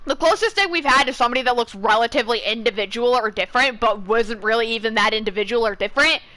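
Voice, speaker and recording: A young woman streams a game with casual commentary. Her voice is fairly high and sharply bright, and she rambles in a chatty, opinionated way. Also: quick pace.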